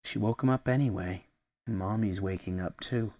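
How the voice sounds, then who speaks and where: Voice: low-pitched, normal speed, warm tone, a little hiss or hum. A storyteller reading a picture book aloud expressively, with casual asides that explain things simply, as to a small child.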